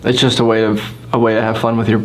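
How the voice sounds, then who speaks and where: Flat-voiced 23-year-old man rambling. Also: on the low side, fast talker, slight background noise. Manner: rambling, self-amused. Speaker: interviewee. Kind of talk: casual interview.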